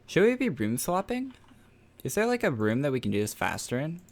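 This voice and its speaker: A man's voice: fairly high pitch, huge pitch swings, fairly quick. A young man casually chatting on a game stream, answering viewer questions in a laid-back, rambling way and laughing often.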